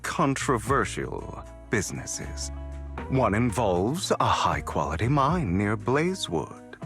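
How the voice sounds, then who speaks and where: An actor voicing a suave, sly gentleman rogue in a video game, speaking with polished, teasing courtesy and coy pauses. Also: lowish voice, heavily acted. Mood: sly, knowing. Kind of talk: video game character dialogue. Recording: music under the voice.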